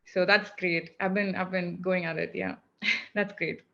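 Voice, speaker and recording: A woman's voice: fairly low pitch, level tone, unhurried, gravelly, pushed and bright, dull audio. A woman talking casually in a group, telling personal anecdotes with repeated phrases and the odd "you know".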